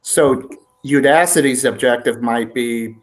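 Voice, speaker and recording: A man's voice: medium pitch, unhurried. A man in a webinar talking about his own business, thinking aloud and joking lightly. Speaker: webinar participant, small business owner.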